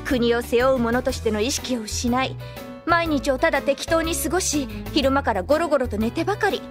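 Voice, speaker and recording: An actress playing a proper young princess who speaks in a refined, prim, lecturing way in an anime drama CD. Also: female voice, high voice, fairly quick, over music, lightly acted. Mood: scolding.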